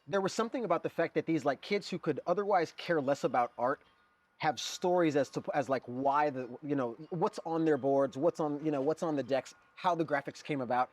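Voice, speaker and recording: A man's voice: a little high, a little fast. A man in his forties who grew up in Germany, a guest artist talking casually and rambling, with lots of fillers and "dude". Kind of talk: casual conversation.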